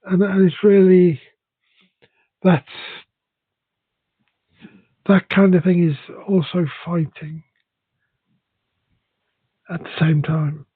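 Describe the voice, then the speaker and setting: A man who speaks English and Welsh, talking in a hesitant, halting way with many restarts in a casual conversation. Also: high voice, level tone, husky, dry recording. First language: English and Welsh bilingual. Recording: dry, phone-like narrow sound.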